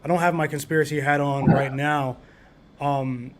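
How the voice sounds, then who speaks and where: A man's voice: on the high side, steady tone, normal speed, a little hiss or hum. A man hosting a sports betting podcast, easygoing and full of fillers, reading out lines and chat comments. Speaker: podcast host.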